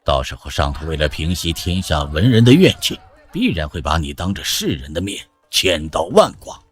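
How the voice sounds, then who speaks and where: An actor playing a cold, cynical courtier in a Chinese historical comic drama. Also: male voice, sits low, sing-song, moderate pace, husky, faint music behind, exaggerated voice. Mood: scornful warning.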